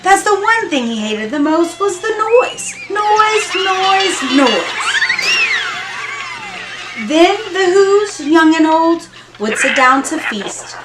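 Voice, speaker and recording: Woman storyteller, expressive children's read-aloud, dramatic emphasis, roomy echo, clear music and effects behind. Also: extremely high pitch. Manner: dramatic emphasis and drawn-out repetition. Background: music and sound effects from an app.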